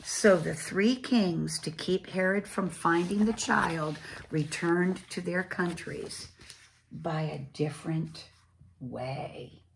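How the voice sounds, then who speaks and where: A woman reading a storybook aloud to children as a church storyteller, with deliberate, dramatic phrasing and pauses. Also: fairly low pitch, creaky, slight background noise, rough recording.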